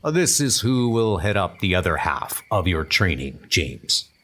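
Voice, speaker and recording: Man playing an unhurried, fatherly British commander. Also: deep voice, sing-song, a bit bright, voice pushed down, heavily acted. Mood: reassuring. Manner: reassuring, advising, unhurried. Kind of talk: comic dub spy drama acting.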